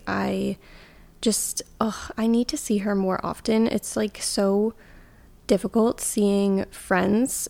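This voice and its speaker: A woman's voice: mid-range voice, creaky, darkish tone. Sleepy-voiced young woman podcast host. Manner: chatty, lots of "like" fillers. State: sleepy morning voice. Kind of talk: casual solo podcast chat.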